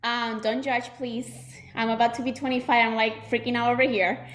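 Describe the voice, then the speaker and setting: A woman's voice: on the high side, level tone, brisk, fairly bright tone. A woman in her twenties with a Puerto Rican accent, giving a chatty motivational training talk to her team with many fillers and restarts. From Puerto Rico. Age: 20s. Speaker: insurance agency owner giving a talk.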